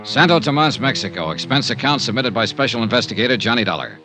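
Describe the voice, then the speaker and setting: An actor with an American accent playing a tough, wry insurance investigator in clipped 1950s radio detective style. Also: male voice, mid-range voice, very animated, fast talker, loud music behind the voice. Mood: dry narration.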